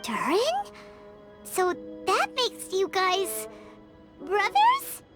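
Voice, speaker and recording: A woman voicing a small, girlish, squeaky fairy companion in an animated video game style, eager and loyal. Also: drawn-out words, loud music behind the voice, exaggerated voice. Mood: curious, hesitant. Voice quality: squeaky, childlike. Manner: talks of self in third person.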